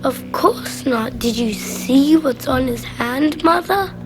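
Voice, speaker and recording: Slow-spoken, high-voiced little boy. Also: animated, over music. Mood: calm, curious. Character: quiet, well-spoken, curious young mage boy. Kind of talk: fantasy video game dialogue.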